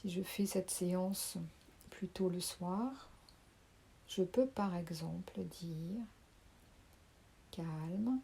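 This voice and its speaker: A woman leading a guided sophrology relaxation, speaking softly and calmly and sometimes dropping to a whisper. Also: lowish voice, creaky, breathy edge. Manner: slow, soft, calming, with whispered phrases. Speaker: sophrology teacher.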